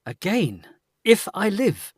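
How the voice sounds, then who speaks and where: High-voiced, animated, curious gentleman, played by a man. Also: slow pace, husky, dry recording, studio quality, heavily acted. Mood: intrigued. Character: curious, courteous young gentleman, easily amazed. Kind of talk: gothic audio drama acting.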